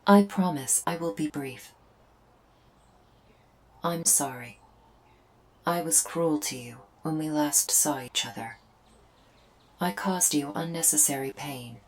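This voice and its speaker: A woman's voice: sits low, takes it slowly, pauses often. A woman playing a remorseful alien invader in an ASMR roleplay, speaking in formal, precise, stilted phrases. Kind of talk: scripted ASMR roleplay to the listener.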